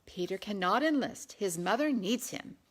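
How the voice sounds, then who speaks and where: A woman's voice: medium pitch, lively intonation, moderate pace, voice pushed up, close to an everyday voice. A protective English matron, put on by a woman reading a novel. She speaks with firm command, as a figure in a historical audiobook.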